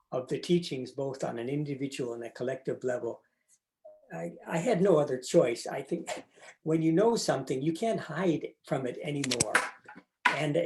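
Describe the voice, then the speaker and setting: An older man speaks thoughtfully over a video call, pausing often with fillers. His voice has a gravelly creak at an ordinary middle pitch. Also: dark tone. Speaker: interview guest, monk. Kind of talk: reflective personal account.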